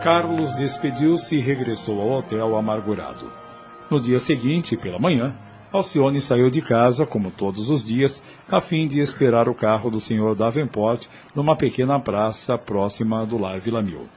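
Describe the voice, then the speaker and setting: A man's voice: medium pitch, animated, normal speed, a little mellow. A man narrating an audio drama in a scripted, dramatic storytelling style. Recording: music under the voice. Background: music.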